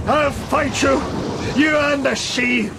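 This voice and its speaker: An actor playing a devout, embittered old sea captain in a gothic horror audio drama, declaiming with desperate, strained defiance. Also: male voice, very high voice, fairly even intonation, slow, full character voice. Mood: grim resolve. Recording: rain and thunder noise throughout.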